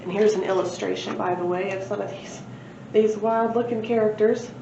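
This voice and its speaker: A woman reading a children's storybook aloud in an expressive narrating style, as a library presenter. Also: mid-range voice, normal speed, gravelly. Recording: roomy, dull lo-fi, faint noise.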